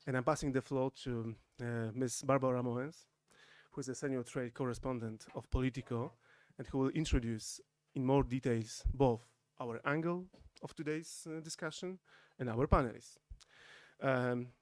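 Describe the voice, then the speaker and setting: A man's voice: medium pitch, fairly even intonation, darkish tone, dry recording. Formal man opening a panel. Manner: halting, formal, with frequent fillers. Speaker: event host. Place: conference room at a podium. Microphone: podium microphones.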